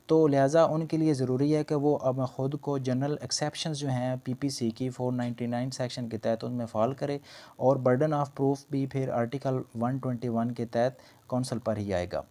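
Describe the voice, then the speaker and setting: A man's voice: medium pitch, mellow. A man giving an explanatory law lecture, repeating and rephrasing his points as he goes. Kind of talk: lecture explaining legal points in Urdu mixed with English terms.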